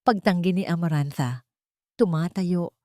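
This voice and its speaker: A woman's voice: lowish voice, unhurried, a little mellow, dry recording, studio quality. A woman with a Filipino accent narrating a scripted audio drama as a dramatic storyteller.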